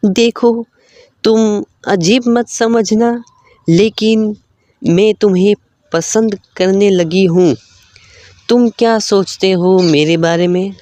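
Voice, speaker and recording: Cartoon moral story: gentle, lovestruck landlord's daughter, young woman played by a narrator, pitched up, unhurried, very dry sound. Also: no room sound, lightly acted. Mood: shy confession.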